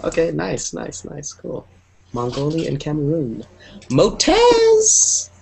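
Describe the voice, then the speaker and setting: A man's voice: on the high side, huge pitch swings, slow pace, vocal fry, a little mellow, slight background noise. Upbeat young male online teacher. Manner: upbeat, encouraging, repeats "cool". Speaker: English teacher. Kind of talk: online group class. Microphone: earphone mic on a video call.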